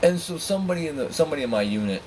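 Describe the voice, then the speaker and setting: A man telling a personal story in a candid, profane, animated way, acting out dialogue with exasperated asides. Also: fairly high pitch, rapid-fire, a little hiss or hum, rough recording. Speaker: storyteller and host.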